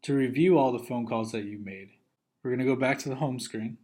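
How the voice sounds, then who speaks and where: A man narrates a software training video with a mid-range voice. He works through instructions methodically, one step at a time, in the manner of a trainer. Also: mid-range voice, a little fast.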